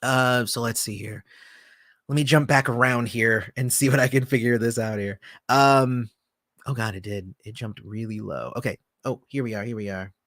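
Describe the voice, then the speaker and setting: A man hosting a casual livestream, answering viewer comments in a relaxed, rambling way with lots of fillers, often trailing off softly. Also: medium pitch, normal speed, no room sound, studio quality. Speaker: channel host.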